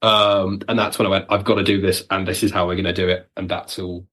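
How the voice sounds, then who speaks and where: Guy with a lowish voice, writer on a podcast, chatty n wry, acts out what people say. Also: level tone, fast, a bit bright. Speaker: audio drama writer, podcast guest. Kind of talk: casual interview chat.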